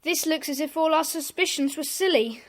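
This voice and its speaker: A woman's voice: sits high, normal speed, natural voice. A woman playing a bossy, indignant schoolboy club leader in a children's audio drama, stern, scolding and self-important. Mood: questioning, impatient.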